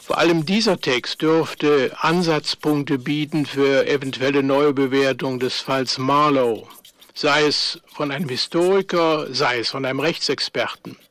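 An actor playing a sober dossier compiler, narrating formally and matter-of-factly in a radio drama. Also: male voice, medium pitch, measured pace, a bit bright, no room sound, natural voice.

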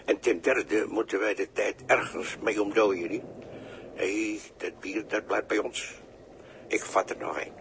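An actor playing a folksy, evasive, callously matter-of-fact bystander in a halting, colloquial 1960s radio drama style. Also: male voice, on the low side, fairly even intonation, gravelly, a bit bright. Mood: jovial, evasive. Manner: halting, colloquial, with tags and repeats. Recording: noisy early 1960s radio recording.

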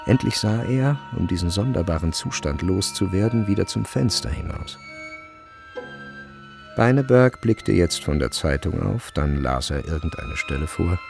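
Low male voice, creaky, measured literary narration, radio drama, faint music behind. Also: expressive.

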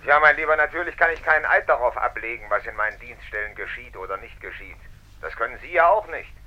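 A man's voice: mid-range voice, animated, fast, lightly acted. A man playing an evasive, patronising prime minister in a 1950s German radio drama, speaking pompously and condescendingly. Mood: evasive, lecturing. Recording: dull band-limited vintage radio sound.

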